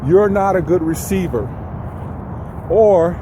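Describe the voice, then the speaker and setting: A man's voice: high-pitched, slow. A man giving an informal, reflective motivational talk while walking outdoors, speaking directly to the listener in a conversational way. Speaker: vlogger giving personal development advice. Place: outdoors, walking near traffic. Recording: clear outdoor traffic and street noise.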